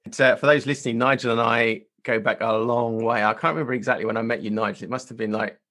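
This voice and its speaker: A man hosting a faith podcast, reading a scripted intro and then chatting with a guest in an earnest, measured way. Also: medium pitch, fairly even intonation, a bit bright. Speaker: podcast host.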